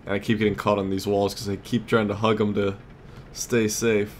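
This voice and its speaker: Male gamer, lowish voice, off-the-cuff play-by-play with mutters and exclamations, audible game noise behind. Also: level tone, normal speed. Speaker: gamer, let's play host. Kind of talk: casual gameplay commentary. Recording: clear background noise, game ambience.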